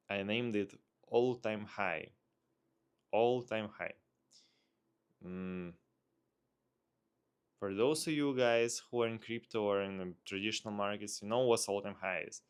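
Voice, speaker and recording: A man with a Russian accent speaks very slowly in a fairly low voice. He talks casually as a vlogger, unscripted, often pausing to search for the next phrase as he thinks aloud. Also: clear, resonant tone. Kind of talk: casual unscripted vlog talk.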